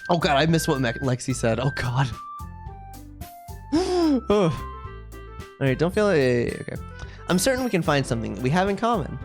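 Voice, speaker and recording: A woman's voice: deep voice, big pitch swings, normal speed, pauses often, faint music behind. A woman streamer giving casual live commentary and narrating as she reads through a visual novel. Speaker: game streamer.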